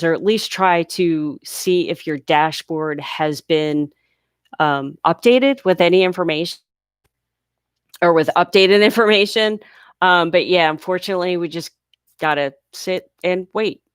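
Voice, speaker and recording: A chatty woman runs a live question-and-answer stream, her voice on the lower side and congested. She speaks with a smooth, clean tone, close and dry with no room sound. She explains things patiently, step by step. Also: dry recording. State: congested from sinuses. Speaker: livestream host answering viewer questions. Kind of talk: casual live Q&A. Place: home near a large US city.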